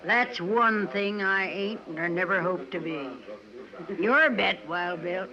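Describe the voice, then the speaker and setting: A tough, plain-spoken frontier woman of the American West. She draws her words out slowly in a folksy drawl. The sound is a dull 1950s radio western with a murmuring saloon behind her. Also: mid-range voice. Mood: gruff, wry. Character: tough, blunt frontier woman gambler dealing cards. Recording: dull vintage radio recording with background chatter.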